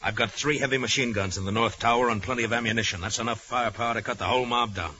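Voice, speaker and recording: An actor playing a hard-nosed prison guard captain, insistent and clipped, in a 1940s radio drama. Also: male voice, medium pitch, racing, pushed and bright, close to an everyday voice. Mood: urgent insistence. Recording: muffled, slightly noisy old radio recording.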